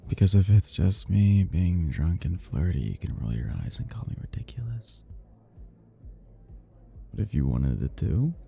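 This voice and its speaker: A man's voice: on the low side, creaky, dark tone, heavily acted. A man playing a cocky, flirty best friend in an intimate romantic ASMR roleplay, murmuring teasingly and slipping into whispers.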